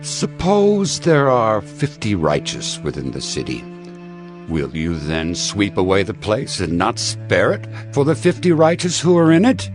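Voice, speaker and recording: A man's voice: on the low side, wildly swooping pitch, a little slow, faint music behind. A man playing a humble, earnest old patriarch in a dramatized audio Bible, reverent and emphatic. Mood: pleading. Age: older. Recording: prominent musical score behind the voice.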